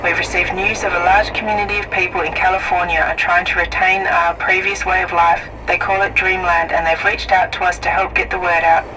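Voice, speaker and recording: A woman's voice: lowish voice, flat delivery, brisk, few pauses, bright, forceful tone. A woman playing an official health-agency broadcaster, giving a composed, formal bulletin through a radio effect. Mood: composed, informative. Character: official female disease-agency broadcaster giving survival updates. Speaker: radio announcer. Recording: distant, noisy radio broadcast.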